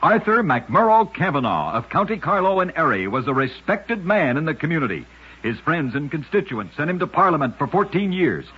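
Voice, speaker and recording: A man narrating scripted short tales as a radio host, with measured, dramatic storytelling phrasing and punchy sign-offs. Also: on the high side, big pitch swings. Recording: muffled, husky old radio recording.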